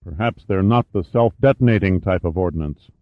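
A man's voice: low-pitched, moderate pace, close to an everyday voice. A man voicing a courteous, serious military colonel in an audiobook, formal and understated. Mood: polite. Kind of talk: audiobook character dialogue. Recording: dull, roomy, echoey sound.

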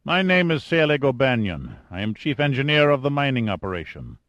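A man voicing a calm, cold middle-aged male mine boss who threatens in a matter-of-fact way. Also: mid-range voice, dry recording, pitched above the performer's own voice. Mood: cool, controlled. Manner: measured, matter-of-fact menace.

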